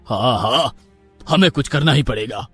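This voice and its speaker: A man's voice: mid-range voice, expressive, moderate pace, fairly bright tone, breathy edge, dry recording, soft background music. Man acting a plain-spoken ordinary market man. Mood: resolved. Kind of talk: acted dialogue in audio storybook.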